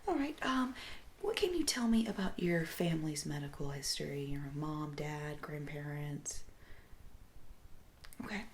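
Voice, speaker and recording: A woman speaking softly and breathily, often near a whisper, as a doctor gently asking unhurried questions in an ASMR roleplay. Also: sits low, animated, slight background noise. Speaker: ASMR performer playing a doctor. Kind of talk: soft-spoken ASMR medical roleplay.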